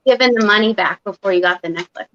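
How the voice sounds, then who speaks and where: A woman's voice: medium pitch, fast, a crowd in the background. Guest: middle-aged American woman, mid-range voice, casual, on dull call audio. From California and Arizona, US. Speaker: guest on a live show. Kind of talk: casual chat.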